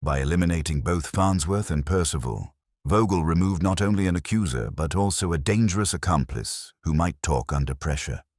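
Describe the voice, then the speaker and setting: Man acting as a composed Victorian sleuth, extremely low voice, animated swoops, theatrical, precise, storybook detective. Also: brisk, dry recording, studio quality. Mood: composed, lecturing. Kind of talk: audiobook detective story dialogue.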